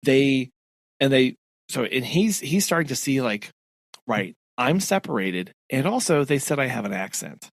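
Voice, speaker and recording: A man speaks casually, summarizing story details and dropping quick "yeah"s and "uh-huh"s. His pitch is middling and his voice is recorded dry, with no room around it. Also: big pitch swings, no room sound. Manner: summarizing and reacting with short agreements. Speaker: podcast co-host. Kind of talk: casual book discussion.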